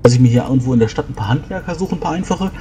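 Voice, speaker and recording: A man's voice: medium pitch, expressive, racing, noisy background. A man improvising in-character planning as a player in a tabletop role-playing game, explaining things in a rambling way.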